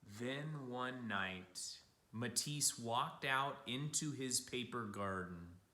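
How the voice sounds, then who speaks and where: Animated man reading aloud in an echoey room. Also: mid-range voice, takes it slowly. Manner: addresses young listeners with simple asides and questions. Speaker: teacher reading a picture book aloud. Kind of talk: expressive children's read-aloud. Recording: roomy, echoing room sound.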